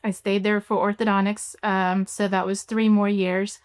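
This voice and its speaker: Woman, young adult, from Cuba, orthodontist guest, smooth resonant voice, relaxed talk with fillers. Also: mid-range voice, normal speed. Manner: relaxed, many fillers and restarts. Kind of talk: conversational interview answers.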